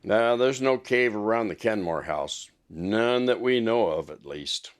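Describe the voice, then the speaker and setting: Low-voiced man acting as a helpful small-town deputy. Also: animated, a little slow. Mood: thoughtful. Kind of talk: audio drama acting.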